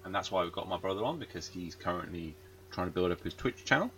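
Deep, bright-voiced podcast host. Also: male voice, lively intonation, fast talker, a little hiss or hum. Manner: rambling, self-deprecating, fillers. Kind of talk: casual podcast chat over a call.

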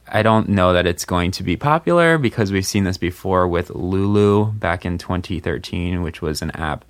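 A man's voice: sits low, normal speed, dry recording. A man hosting a podcast, chatting casually and jokingly with many fillers. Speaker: podcast host. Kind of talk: casual group chat.

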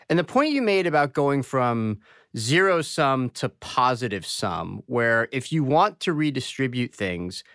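A man's voice: medium pitch, a bit bright, smooth, no echo, clean studio sound. A man hosting a podcast who asks thoughtful, conversational questions, often hedging as he talks. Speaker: podcast host. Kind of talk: interview questions.

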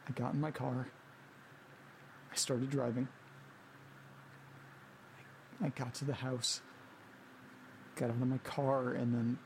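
An actor plays a troubled man in a horror audio drama, with an average-pitched voice. He speaks in halting, repeated fragments as he strains to think. Background noise sits clearly behind him. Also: a little mellow, close to an everyday voice. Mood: frustrated, straining. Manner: halting, repeating himself, muttering. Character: anxious man named Nate straining to recover lost memories. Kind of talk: naturalistic audio drama monologue.

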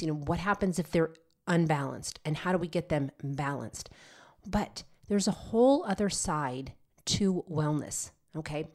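Older lady podcast host, low voice, a bit stuffy from a cold, chatty and upbeat. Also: darkish tone. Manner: chatty, encouraging, often tags "okay?". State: slightly nasal from a head cold. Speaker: podcast host, nurse practitioner. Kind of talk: solo health podcast talk.

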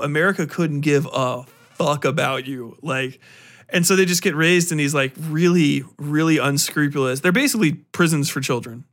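A man with a fairly high voice talks like a podcast host in the middle of a casual rant. He is sarcastic and swears freely, retelling things in an animated way. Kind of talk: casual ranting review.

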